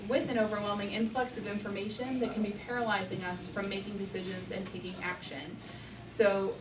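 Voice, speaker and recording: A woman hosting an event, introducing the guest speaker in a friendly way with many fillers. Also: mid-range voice. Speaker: event host. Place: event room with audience. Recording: echoey room, distant mic, audible noise.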